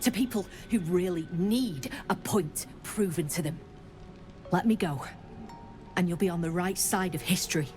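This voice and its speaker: A woman's voice: lowish voice, moderate pace, a little mellow, faint music behind, close to an everyday voice. A woman playing a defiant, foul-mouthed gnome freedom fighter in a video game, blunt, combative and cynical. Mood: urgent bargaining.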